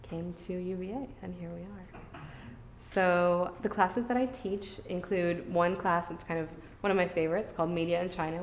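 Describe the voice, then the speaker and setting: A woman professor from Michigan, talking to new students in a friendly, informal way with fillers. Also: on the low side. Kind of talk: casual talk to students. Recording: echoey room, faint noise.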